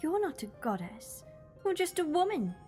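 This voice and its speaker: High-voiced, plucky young captive played by a woman. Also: very animated, normal speed, darkish tone, faint music behind. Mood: wary defiance. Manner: questioning, plucky, sceptical. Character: feisty, wary young human female captive. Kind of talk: audio drama acting.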